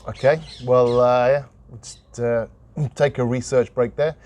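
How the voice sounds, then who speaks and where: A man co-hosting a real estate podcast, talking in a casual, conversational and slightly hesitant, measured way. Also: medium pitch, level tone, a little mellow, slight background noise. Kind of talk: casual unscripted podcast chat.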